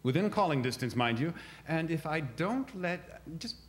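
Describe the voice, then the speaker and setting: An actor playing a polite, flustered young prince in a radio play, speaking hesitantly and theatrically. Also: male voice, a little high, animated, moderate pace, slight background noise, close to an everyday voice. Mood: wary. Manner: hesitant, stammering, trailing off.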